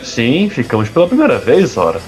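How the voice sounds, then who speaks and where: A man's voice: medium pitch, huge pitch swings, brisk, a little mellow. A young man playing an evasive, troubled rich playboy in an audio drama. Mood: evasive, affectionate. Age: young adult. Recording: music under the voice.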